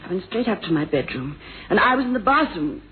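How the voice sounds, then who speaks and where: A woman's voice: medium pitch, big pitch swings, fairly quick, narrow, tinny audio, lightly acted. Tentative, polite wife on old radio, acted by a woman. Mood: hesitant, deferential. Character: hesitant, deferential wife of a rich businessman. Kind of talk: 1970s radio drama acting. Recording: thin old radio recording, faint noise.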